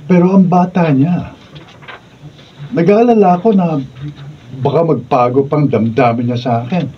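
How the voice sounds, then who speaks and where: A man's voice: fairly high pitch, normal speed, natural voice. Hesitant Filipino professor acted by a man, muffled. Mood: hesitant, worried. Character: smitten, hesitant thirty-eight-year-old male professor. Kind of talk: Filipino radio drama acting. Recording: muffled, distant mic, faint noise.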